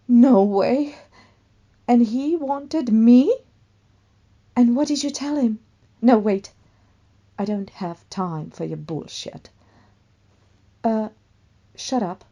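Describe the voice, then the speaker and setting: A woman acts out a famous actress and full-blown diva in a radio play. She is vain and quick to flare up, with a haughty, melodramatic delivery. Her pitch leaps about as she gushes or scolds. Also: dark tone, dull audio, heavily acted. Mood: excited, bossy. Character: vainglorious, hot-tempered famous actress, a theatrical diva. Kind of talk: one-sided radio play dialogue.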